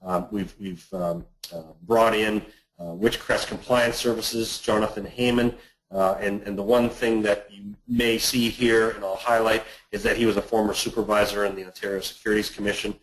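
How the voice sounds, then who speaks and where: Halting man with a low voice. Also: fairly even intonation, low-quality recording. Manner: halting, hesitant delivery with repeated words. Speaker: investment manager hosting a client webinar. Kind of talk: presentation on a conference call.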